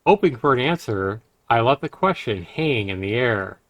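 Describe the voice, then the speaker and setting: A man's voice: medium pitch, lively intonation, moderate pace, a bit bright, no room sound. A man streaming a game, reading a visual novel aloud and adding casual commentary.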